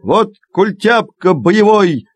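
A man reciting children's verse as a theatrical storyteller, expressive and dramatic. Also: high voice, slow pace. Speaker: storyteller, performer. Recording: digitized cassette audio play. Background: music.

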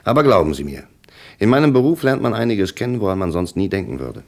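A man's voice: fairly low pitch, very animated, fast talker, vocal fry. A man playing a persistent, probing police commissioner in a radio crime drama, questioning formally and insistently. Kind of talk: German radio play dialogue acting.

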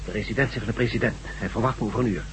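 An actor playing a dutiful police chief inspector in a radio drama, firm, official and clipped. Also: male voice, lowish voice, rapid-fire, natural voice. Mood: brisk, businesslike. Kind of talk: Dutch radio drama acting. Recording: slightly noisy old radio recording.

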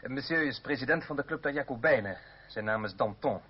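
An actor playing a polite, earnest French revolutionary gentleman in a radio play, formal and apologetic. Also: medium pitch, quick pace, breathy edge, lightly acted. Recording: muffled old radio play, faint noise.

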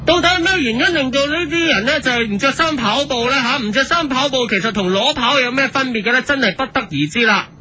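Very high, bright Hong Kong TV presenter played by a man, fussy and nosy, hamming up a parody broadcast for radio comedy. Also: quick pace, hardly any pauses, a little hiss or hum, exaggerated voice. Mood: fussy, indignant. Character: fussy, nosy male TV street reporter and presenter.

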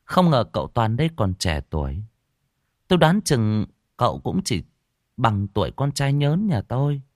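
A man's voice: medium pitch, sing-song, moderate pace, no room sound, clean studio sound, lightly acted. A man voicing a stern woman village chief. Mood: probing. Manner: probing, formal.

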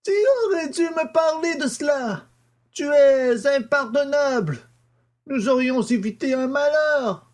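A man's voice: extremely high pitch, unhurried, voice pushed up. A man voicing an aged professor father, distressed and reproachful, in an audiobook mystery.

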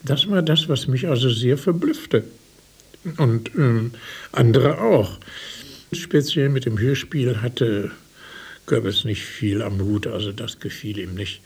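A man recalling his experiences in an interview, with halting, uneven phrasing. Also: medium pitch, gravelly, slightly rough. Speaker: eyewitness interviewee. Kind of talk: spoken recollection.

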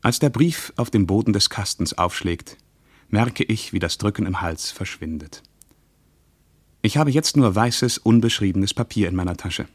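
A practical traveller guy in a radio drama, low voice, bit uneasy, dry studio. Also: expressive, moderate pace, dry recording. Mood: reflective, uneasy. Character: practical, reflective male traveller, slightly impatient, uneasy. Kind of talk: radio play acting, dialogue and diary monologue.

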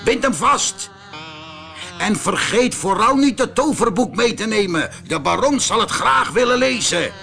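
Man playing a high-pitched, bossy toad captain. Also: animated, unhurried, vocal fry, pushed and bright, heavily acted. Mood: commanding. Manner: barking orders. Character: bossy toad soldier captain riding a dragon. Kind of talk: storybook character voice.

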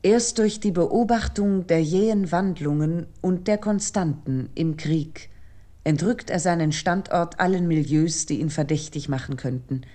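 A woman reading scripted essayistic commentary in a literary radio production, measured, articulate and serious. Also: fairly low pitch, mellow.

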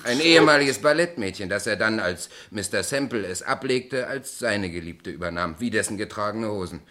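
An actor playing a self-assured, shrewd man who speaks calmly in a German radio play. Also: lowish voice, moderate pace. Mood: explaining, assured.

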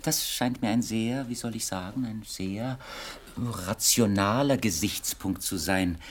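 A man's voice: lowish voice, measured pace, slight background noise, close to an everyday voice. A man playing an urbane, slyly polite young bachelor in a 1960s radio play, speaking in a courteous, mannered and hesitantly ironic way. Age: young adult.

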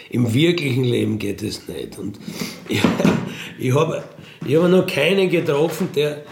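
A middle-aged man with a Bavarian accent tells first-person anecdotes casually, rambling along with colloquial tag questions and throat clearing. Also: medium pitch, a little mellow, a little hiss or hum. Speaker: storyteller, self-described burglar.

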